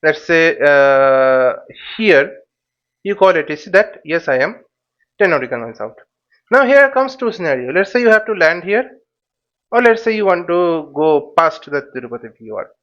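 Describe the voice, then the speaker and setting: A man giving an informal step-by-step tutorial as an instructor, speaking haltingly and repeating his words and phrases. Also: on the high side, sing-song, fairly bright tone. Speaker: flight simulation instructor. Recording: dull, lo-fi microphone sound.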